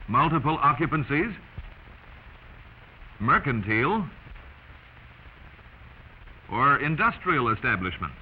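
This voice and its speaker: An American man narrates a 1940s instructional film from a script. His delivery is deliberate, with lively pitch movement. The old soundtrack is dull and slightly noisy.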